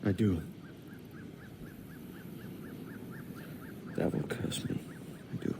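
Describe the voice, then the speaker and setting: An actor playing a weary, roguish and resolute pirate captain in a video game cutscene. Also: male voice, normal speed, lightly acted. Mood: somber, gentle. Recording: game ambience noise behind.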